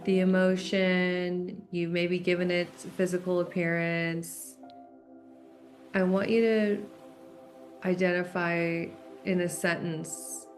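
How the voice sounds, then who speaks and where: A woman with a slightly low voice guides a meditation very slowly over background music. Her manner is gentle and unhurried, and she leaves long pauses between soft, simple instructions. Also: flat delivery.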